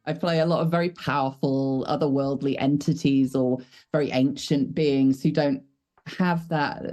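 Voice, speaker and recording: A woman with a low voice, a voice actor chatting casually in an interview, thoughtful and self-deprecating. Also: warm tone. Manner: thoughtful, self-deprecating, laughs while talking. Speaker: voice actor guest.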